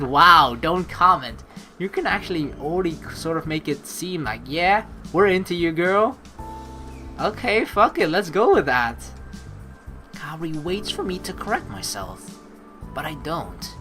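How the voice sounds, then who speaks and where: A woman's voice: lowish voice, pushed and bright. A woman hosting a let's play in a chatty, rambling, earnest way, mixing casual commentary with reading game text aloud.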